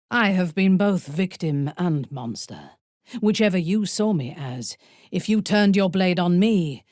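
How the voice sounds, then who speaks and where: Video game drow war leader: woman's deep register, slow and deliberate, imperious, menacing, expressive swings in tone. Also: no echo, clean studio sound, full character voice. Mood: solemn. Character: ruthless, imperious female drow warband commander. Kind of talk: dramatic video game voice acting.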